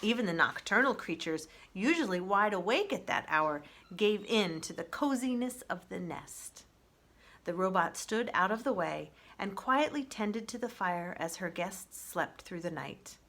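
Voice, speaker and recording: A woman reading a children's story aloud in an expressive storybook narration style, as a librarian would. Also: medium pitch, unhurried.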